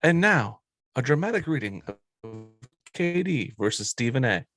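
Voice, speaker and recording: A man's voice: medium pitch, big pitch swings, moderate pace, a bit bright, no echo, faint music behind. A man hosting a sports podcast, chatting casually and bantering with co-hosts.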